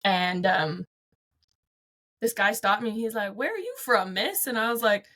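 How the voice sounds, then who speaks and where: A woman's voice: medium pitch, animated, measured pace, a bit bright. A woman from Vancouver, a guest on an interview show, talking casually in a Canadian accent with a slight Southern twang, bubbly and giggly with lots of "like.". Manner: giggly, bubbly, many "yeah" and "like". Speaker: guest, photographer and artist.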